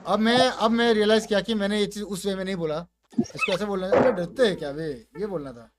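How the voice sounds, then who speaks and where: A man's voice: high-pitched, brisk, very noisy. An Indian man talking casually and informally as a gaming creator, slipping between Hindi and English with plenty of slang. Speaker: gaming content creator presenting his voice pack. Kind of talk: casual commentary with demonstrations.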